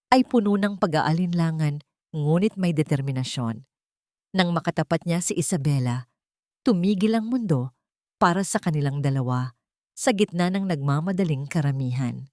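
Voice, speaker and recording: A woman narrating a dramatic audio story in a measured, steady way. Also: low-pitched, no echo, studio quality.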